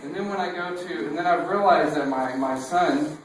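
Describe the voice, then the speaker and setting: Texan male missionary preacher, chatty storyteller full of fillers and jokes, fairly high voice, distant mic. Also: moderate pace, a little hiss or hum. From Texas. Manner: casual storytelling with fillers and self-deprecating humor. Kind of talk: sermon with a sung opening. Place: church sanctuary. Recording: echoey church room from far off.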